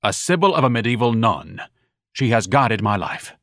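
A man's voice: mid-range voice, sing-song, normal speed, fairly bright tone, dry recording, clean studio sound. A man voicing a pompous, indignant eccentric who scolds in lofty, grandiloquent phrases. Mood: haughty.

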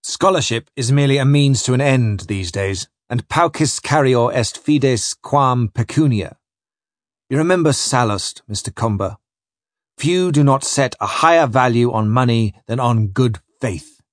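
A man's voice: mid-range voice, expressive, measured pace, no room sound, studio quality, lightly acted. A man voicing a pompous, scholarly, bad-tempered ship's captain in an audiobook story, pedantic and declamatory. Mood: condescending, pedantic. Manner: pedantic, declamatory, quoting Latin.

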